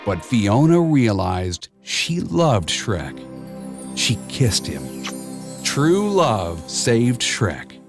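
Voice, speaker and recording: A man narrates a children's read-along storybook at an unhurried pace. His pitch moves in lively swings as he tells the tale in short, clearly phrased sentences. Music plays behind his voice. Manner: measured, expressive storytelling with clear simple phrasing.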